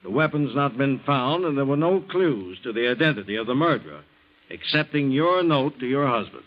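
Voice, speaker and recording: An actor playing a methodical, insistent police interrogator in a 1940s radio drama, with probing, formal questions. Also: male voice, mid-range voice, very animated, a little fast, lightly acted. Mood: insistent, accusing. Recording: dull vintage radio recording.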